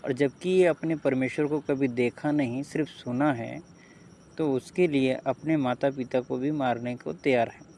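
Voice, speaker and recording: A man's voice: mid-range voice, steady tone, measured pace, a little mellow, a little hiss or hum. A man giving disapproving spoken commentary to viewers. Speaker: commentator on a recorded call.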